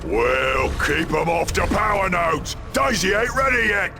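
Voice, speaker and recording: A brash ork warboss from a video game, high for a male voice and full of gravel. He barks orders in rough Cockney slang over loud music. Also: sing-song, a little slow, heavily acted. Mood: irate. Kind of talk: video game cutscene acting.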